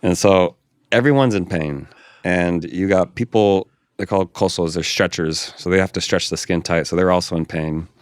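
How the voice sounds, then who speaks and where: A young man from Hawaii, a podcast guest calmly telling a personal story in conversation. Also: low-pitched, moderate pace, clean tone, no echo, studio quality.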